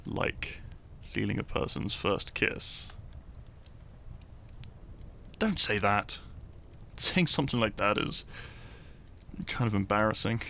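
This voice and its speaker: A man playing a shy young farmer turned hero in an intimate romantic audio roleplay, tender and teasing, speaking softly and haltingly. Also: lowish voice, gravelly, a little hiss or hum, full character voice. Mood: flustered, bashful. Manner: soft, hesitant, halting, sighing and chuckling.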